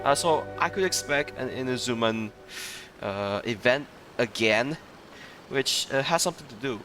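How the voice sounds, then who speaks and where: A man talks casually through his game playthrough with music behind him. His pitch moves around a lot, and he rambles and halts, restarting thoughts and chuckling between phrases. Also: expressive, moderate pace. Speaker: gaming streamer.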